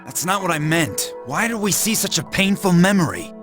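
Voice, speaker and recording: A curt, proud young boxer. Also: male voice, sits high, sing-song, moderate pace, over music. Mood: accusing. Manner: curt, guarded. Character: blunt, proud young male boxer, intense and driven. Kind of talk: anime video game voice acting.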